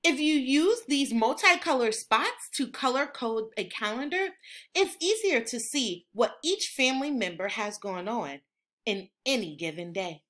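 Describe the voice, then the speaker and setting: A woman teacher reads a picture book aloud to young children. Her voice is fairly high and bright, with lively swings in pitch. She explains things expressively and instructively. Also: unhurried. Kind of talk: animated children's read-aloud.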